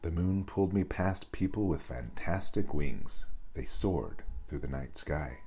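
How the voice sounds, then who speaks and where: Very deep-voiced man reading a bedtime story. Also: a little slow, breathy edge, soft background music. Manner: expressive, dramatic storytelling. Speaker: storybook reader. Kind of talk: scripted children's bedtime story narration.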